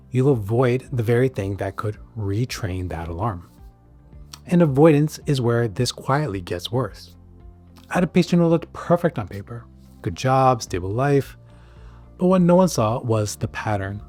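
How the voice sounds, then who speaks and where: A man narrating a scripted educational explainer as a psychiatrist host, stressing key words with dramatic pauses. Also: medium pitch, a little mellow.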